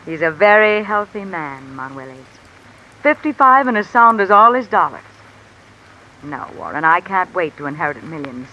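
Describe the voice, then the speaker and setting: A woman's voice: on the low side, moderate pace, lo-fi sound. A woman playing a calculating, discontented wife with an insinuating, controlled manner in 1950s radio mystery style. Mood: bitter, grasping. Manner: insinuating, controlled, coolly commanding. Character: cool, calculating, discontented wife blackmailing a man. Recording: old radio recording with steady rain noise. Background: rain falling.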